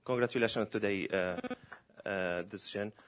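A man's voice: lowish voice, moderate pace, very noisy. A man from Syria asking a question from the audience, direct and pointed, with small slips in his grammar. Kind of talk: question from the floor.

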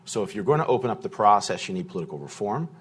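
A man speaking as a panelist in a discussion, answering questions in a measured, thoughtful way with fillers and restarts. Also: lowish voice, big pitch swings, breathy edge.

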